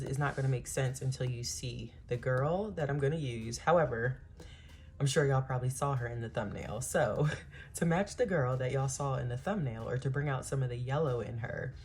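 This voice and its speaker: Woman, 30s, planning-channel host; very low voice, relaxed rambling chat, slightly stuffy from a cold. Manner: chatty, many ums, Southern-style "y'all". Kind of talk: casual voice-over while digital planning.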